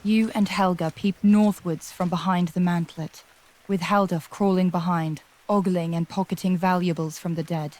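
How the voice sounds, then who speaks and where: A woman's voice: lowish voice, fairly even intonation, moderate pace, a little mellow. A woman giving dramatic, scripted narration for a fantasy audio adventure.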